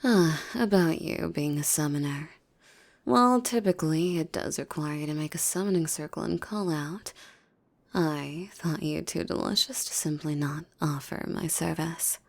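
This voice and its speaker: Woman playing a low, gravelly seductive demoness. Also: moderate pace. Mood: seductive, coaxing. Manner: formal, commanding, coaxing, often slipping into breathy whispers. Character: possessive, seductive, menacing female demon master. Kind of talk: roleplay ASMR acting, intimate monologue.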